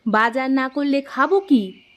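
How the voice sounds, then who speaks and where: A woman's voice: sits high, a little slow. A woman playing a practical, nagging village wife and mother in a cartoon story, with a questioning, mildly scolding manner. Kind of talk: cartoon moral-story dialogue.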